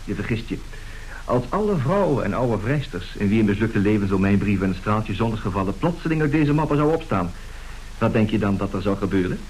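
A man's voice: fairly low pitch, animated, a little fast, darkish tone, lightly acted, rough recording. A man playing a suave, self-assured swindler in an old radio play, speaking formally and theatrically. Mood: grandiose, self-justifying. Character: suave, unrepentant male swindler who woos women by letter. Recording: hissy old radio recording.

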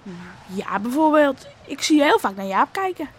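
A woman's voice: high voice, sing-song, moderate pace, slight background noise. A woman playing a cocksure young fisherman's son, bossy and teasing, in a Dutch radio play.

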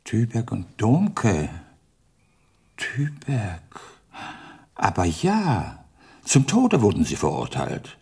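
An actor playing a crotchety elderly retired judge in a German radio play, sardonic and halting, with old-fashioned turns of phrase. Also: male voice, fairly low pitch, huge pitch swings, darkish tone, full character voice. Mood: musing. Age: older. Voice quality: gravelly, husky. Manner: halting, addresses listener archaically in third person.

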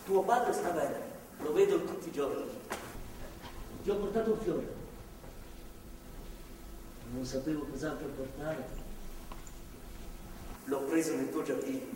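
An actor playing a remorseful, broken reformed attacker, halting and pleading, in a stage drama. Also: male voice, fairly high pitch, natural voice. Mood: awkward, contrite. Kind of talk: live stage drama acting. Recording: distant mic, echoey theatre hall, faint noise.

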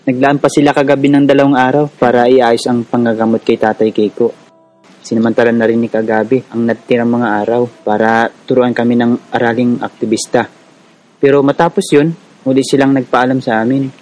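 A man's voice: mid-range voice, level tone, brisk, a little mellow, lightly acted, low-quality recording. An actor playing an earnest young Filipino village activist who narrates his own story in a radio drama. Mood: earnest storytelling. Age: young adult. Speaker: narrator and lead character. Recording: music under the voice.

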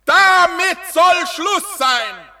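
An actor playing a demagogic prime minister giving a pompous, solemn memorial oration in a radio play, declaiming with rhetorical pauses in a reverberant hall. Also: male voice, extremely high pitch, animated, pushed and bright, full character voice. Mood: fervent, thundering. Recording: long reverberant hall echo.

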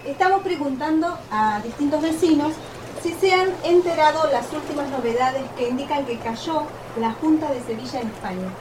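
An actress playing a polite, eager radio reporter with an Argentine accent, formally announcing a live news report in a radio drama. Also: female voice, high voice, moderate pace, close to an everyday voice. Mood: polite, upbeat. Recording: distant, noisy outdoor-style radio recording.

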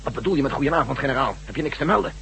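An actor playing a brisk, cautious military general in a Dutch radio drama, with clipped delivery. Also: male voice, mid-range voice, lively intonation, creaky, a bit bright, a little hiss or hum. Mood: brisk, authoritative.

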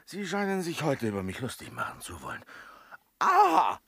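Giggly tipsy manservant, high, creaky. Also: male voice, huge pitch swings, normal speed, fairly bright tone, dry recording, clean studio sound, exaggerated voice. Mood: teasing, merry. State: drunk, giggly. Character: tipsy, cheeky, wordy manservant, cheerfully insolent. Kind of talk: German stage comedy radio acting.

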